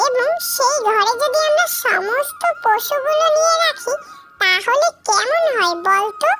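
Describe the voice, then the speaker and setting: An extremely high-voiced little village boy played by a woman talks eagerly and earnestly. It is kindly cartoon acting, with loud children's music behind.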